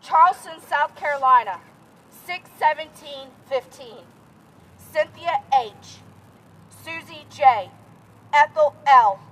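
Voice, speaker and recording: A woman at an outdoor rally reading victims' names and ranks in a slow, steady, formal way. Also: sits high, poor audio. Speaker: rally speaker.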